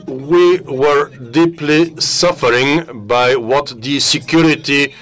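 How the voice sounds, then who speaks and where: A man from Germany asks a conference panel a question in a deliberate, earnest way. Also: a little high, a bit bright. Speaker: audience member asking a question.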